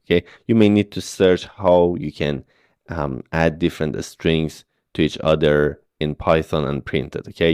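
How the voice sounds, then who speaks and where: A man's voice: very deep voice, darkish tone, clean tone, no echo, studio quality. A man, walking through coding exercises step by step and checking in with "okay?" and "right?". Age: 30s. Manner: patient step-by-step explaining with frequent "okay?" checks. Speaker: programming instructor. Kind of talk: screen-recorded coding tutorial.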